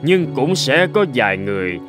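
A man voicing an honest, dignified older gentleman in a cartoon morality tale. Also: medium pitch, big pitch swings, moderate pace, music in the background, natural voice. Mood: calm, earnest.

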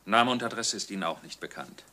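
A brisk detective in a crime radio drama. His voice is lowish and extremely bright and sharp, putting matter-of-fact questions. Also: expressive. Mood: businesslike. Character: brisk male police detective taking statements. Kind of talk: dramatic radio play acting.

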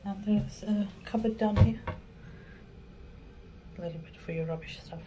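A woman's voice: mid-range voice, normal speed. A woman travel vlogger giving a casual, matter-of-fact tour narration of a small cabin. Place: mostly a small cruise ship cabin. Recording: clear background ambient noise.

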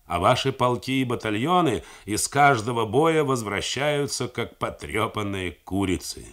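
A man reading the part of a calm, deliberate army general who reasons things through steadily. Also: medium pitch, voice pushed up. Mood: reasoning. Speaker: army commander.

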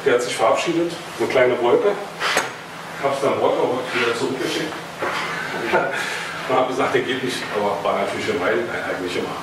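A man's voice: mid-range voice, moderate pace. Berlin-accented man in a very echoey room. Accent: Berlin German. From Berlin, Germany. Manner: loose and chatty, with filler words and small jokes; standing and moving about as he talks. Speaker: presenter at a hobby talk. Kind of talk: casual technical presentation. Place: meeting room with projector screen, indoors. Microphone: far camera across the room. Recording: very echoey room, far from the mic, noisy.

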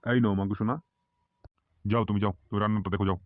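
A man's voice: on the low side, little pitch movement, very fast, dry recording, narrow, tinny audio, lightly acted. A man playing a boastful, sleazy, short-tempered politician in a Bengali comedy cartoon, swaggering and crude as he blusters. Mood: casual, doting.

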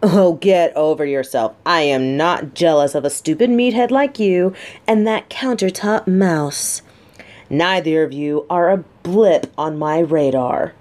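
A woman's voice: low voice, unhurried, darkish tone. A woman playing a guarded, sarcastic but secretly vulnerable lieutenant and bodyguard in an intimate audio roleplay. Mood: teasing, defensive. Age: adult. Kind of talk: scripted roleplay audio drama, addressed to listener.

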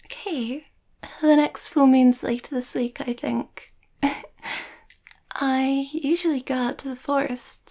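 Shy young werewolf girlfriend, female performer: soft whispery ASMR delivery, high pitch, unhurried, bashful and sweet. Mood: playful, shy. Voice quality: soft, breathy, often whispery. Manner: bashful, hesitant, giggly. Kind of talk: intimate ASMR girlfriend roleplay.

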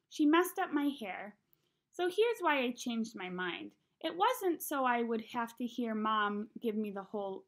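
Higher-pitched young woman reading a story aloud. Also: fairly bright tone. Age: young adult. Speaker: read-aloud narrator. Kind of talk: expressive audiobook-style reading of a children's novel. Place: small room at home.

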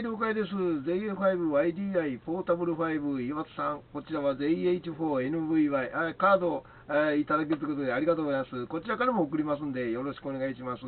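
A man's voice: on the high side, narrow, tinny audio. A man making a polite, procedural ham radio exchange, spelling out call signs. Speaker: amateur radio operator. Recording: thin, band-limited radio audio.

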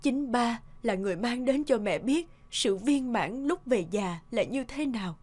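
A woman playing a gentle, remorseful mother confiding in her son in an animated family drama, soft and earnest. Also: a little high, a little slow. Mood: tender, earnest.

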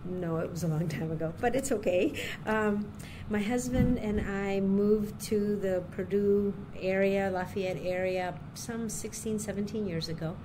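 A woman's voice: mid-range voice, unhurried, few pauses. A middle-aged woman from Puerto Rico who grew up near Chicago, answering questions in an oral history interview, talking unhurriedly with many ums and restarts. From Puerto Rico, raised near Chicago. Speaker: interviewee, university diversity director. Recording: steady background noise.

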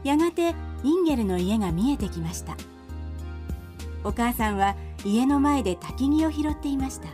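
A woman's voice: sits high, animated, normal speed, dark tone, faint music behind. A woman narrator reading a scripted storybook tale for a radio drama.

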